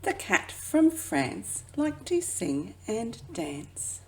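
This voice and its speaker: A woman who works as a children's educator reads a picture book aloud expressively, drawing out phrases and dropping into hushed asides. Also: medium pitch, sing-song, extremely slow, poor audio. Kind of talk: children's storybook read-aloud.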